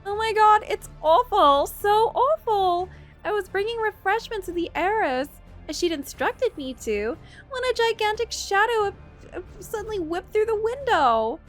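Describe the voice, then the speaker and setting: A woman's voice: extremely high pitch, lively intonation, a little slow, faint music behind, voice pushed up. A woman playing a young lady-in-waiting in a visual novel, wailing in faked terror with melodramatic flair. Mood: dramatic dread. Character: frantic young lady-in-waiting faking terror over an abduction.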